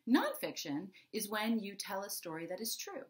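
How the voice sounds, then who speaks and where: A woman's voice: medium pitch, big pitch swings, normal speed. A middle-aged American woman, a children's author, explaining things to young children in a simple, conversational teaching manner at home. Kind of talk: explaining to young children before a story reading. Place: home office, indoors. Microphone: computer webcam microphone at desk distance.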